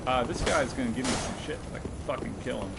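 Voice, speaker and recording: A man with an average-pitched voice talks through his gameplay in a casual, joking way. He swears freely, and loud, constant noise sits behind everything he says. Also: quick pace, creaky. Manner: profane, jokey. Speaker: video game streamer playing and commenting. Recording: very noisy, constant loud background hum.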